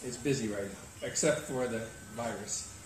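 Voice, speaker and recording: A man's voice: mid-range voice, moderate pace. Older man, easygoing, echoey distant sound. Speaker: cruising rally organizer. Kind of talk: relaxed interview answers. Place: open-air veranda, tropical. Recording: echoey, distant, noisy outdoor veranda.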